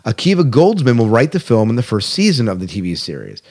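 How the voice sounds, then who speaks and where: A man's voice: medium pitch, wildly swooping pitch, fast, a little mellow, breathy edge. A man hosting a podcast in a casual style, chatting and reading out news with conversational asides. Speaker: podcast host.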